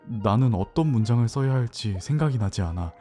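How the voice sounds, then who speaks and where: A man plays a hesitant newcomer narrating an audio drama. His mid-pitched voice is dark and mellow, reflective and introspective, with soft music underneath. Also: lively intonation, brisk, close to an everyday voice.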